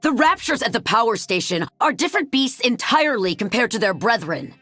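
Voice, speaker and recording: A woman playing a cool, analytical military aide in a dramatic video game, measured and matter-of-fact. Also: on the high side, big pitch swings, sharp tone, no echo, exaggerated voice. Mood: cool, pensive.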